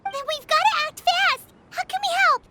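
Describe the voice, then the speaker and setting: A woman voicing a tiny, floating female fairy companion in a game dub, eager, chatty and quick to react. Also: very high-pitched, bright, forceful tone. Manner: quick to react, curious, exclamatory. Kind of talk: video game dub acting.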